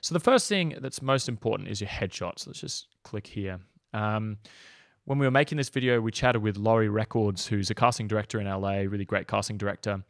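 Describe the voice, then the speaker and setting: Man, 30s, casual coach read, lowish voice, dry close sound, loose with filler words. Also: dry recording. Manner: casual and upbeat, many ums. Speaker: acting coach. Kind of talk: online tutorial, screen walkthrough.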